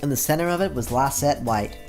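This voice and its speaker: A man reads a romance visual novel aloud at an even, plain pace, breaking off now and then for a casual aside. Soft game music plays in the background. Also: medium pitch, brisk, rough recording. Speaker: YouTube gamer.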